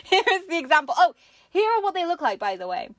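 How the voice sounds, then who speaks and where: Giggly, high-pitched woman host. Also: very animated, quick pace, a bit bright, dry recording, clean studio sound. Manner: giggly, playfully mocking. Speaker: craft show host. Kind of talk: casual comedic chat.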